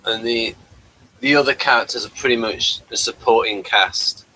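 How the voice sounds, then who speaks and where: A man hosting a casual review chat, talking in a halting, rambling way. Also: medium pitch, pushed and bright, slight background noise, low-quality recording. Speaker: host of a TV review talk.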